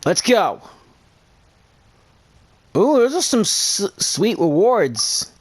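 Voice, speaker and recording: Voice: mid-range voice, big pitch swings, extremely slow, breathy edge. A gamer casually reading dialogue and commenting through a video game playthrough in an informal, slangy way. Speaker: gaming video narrator.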